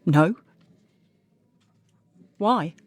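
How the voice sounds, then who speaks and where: Slow-spoken, defiant French singer. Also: female voice, on the low side, lively intonation, no echo, heavily acted. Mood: protesting. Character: French cabaret singer, guarded, defiant woman under questioning. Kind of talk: audio drama acting.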